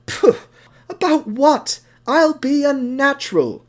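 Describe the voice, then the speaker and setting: A man's voice: very high voice, big pitch swings, slow, pitched above the performer's own voice, full character voice. A man voicing a vain, chatty young steam engine in an audio drama, with a bubbly, grandiose and theatrical manner. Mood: excited, boastful. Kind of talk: audio drama character acting.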